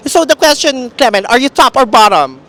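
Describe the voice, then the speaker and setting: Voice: high voice, very animated, fairly quick, a bit bright. A playful host with a Filipino accent doing bawdy, teasing street interviews, breaking into giggles and exclamations.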